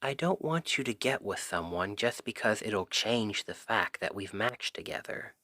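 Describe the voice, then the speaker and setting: A man acting a shy, earnest best friend in an intimate ASMR roleplay, speaking softly and haltingly. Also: on the low side, animated, dry recording, clean studio sound. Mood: earnest, selfless. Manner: hesitant, halting, soft-spoken. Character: shy, earnest male Cupid best friend confessing love.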